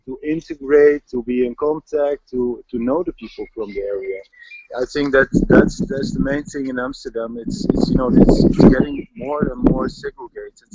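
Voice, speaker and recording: A man from the Netherlands with a Dutch accent, answering interview questions in a halting way, often repeating words while searching for them. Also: on the high side, huge pitch swings, vocal fry, warm tone. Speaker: local resident. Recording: dull lo-fi audio.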